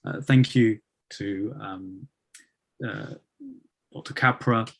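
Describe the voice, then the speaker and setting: A man's voice: on the low side, slow pace. A man hosting an interview over a video call, asking questions hesitantly with many fillers. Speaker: host.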